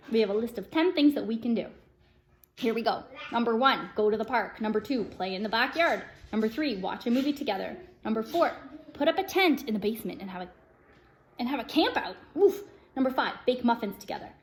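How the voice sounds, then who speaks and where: A woman speaking as a self-help vlogger, chatty and earnest, addressing her viewers directly and sometimes reading from her own script. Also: on the high side. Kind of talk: upbeat personal vlog, partly reading from a script.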